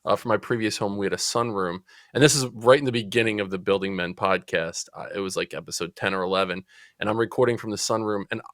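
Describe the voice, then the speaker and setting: Chatty New Jersey man, low and dry. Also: fast, no room sound. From Central New Jersey. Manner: chatty, animated storyteller. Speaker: podcast guest. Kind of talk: casual storytelling interview.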